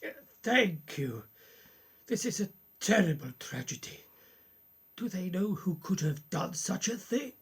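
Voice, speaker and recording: A woman's voice: on the low side, sing-song, unhurried, vocal fry, slightly rough, heavily acted. A woman playing a courteous, concerned professor in a radio play, formal and questioning. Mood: sympathetic, courteous. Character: concerned, courteous professor, a sceptical family friend.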